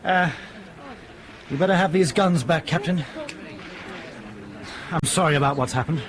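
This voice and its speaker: Man acting high-voiced, bitter, weary skipper. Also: measured pace, lightly acted. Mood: regretful. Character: weary, bitter, remorseful male skipper in command. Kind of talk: radio drama acting. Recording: radio drama with clear background noise.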